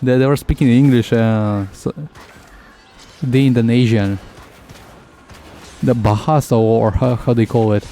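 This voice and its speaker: A man who is a native Russian speaker, streaming a game with casual, halting, jokey commentary. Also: medium pitch, fairly even intonation, dark tone, a little hiss or hum.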